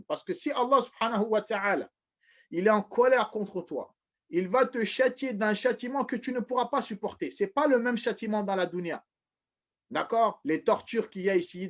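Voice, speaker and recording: A man giving a religious lecture, repeating phrases, often restarting, and addressing his listeners as brothers and sisters. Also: high voice. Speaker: preacher. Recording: dull lo-fi recording.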